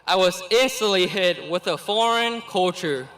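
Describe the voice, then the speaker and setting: A man's voice: high voice, a bit bright, poor audio. Earnest young man. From Vietnam. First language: Vietnamese. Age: late teens. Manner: earnest and deliberate, with small laughs between phrases. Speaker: graduating student giving the commencement speech. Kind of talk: reading a prepared commencement speech.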